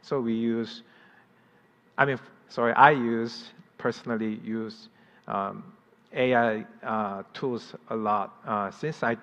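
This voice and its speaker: A man's voice: mid-range voice, fairly even intonation, slow, a bit bright. A man with a Korean accent, whose first language is Korean, giving a conference presentation in English with many fillers and restarts. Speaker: conference speaker. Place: conference hall. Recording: dull audio in a hall with long reverb.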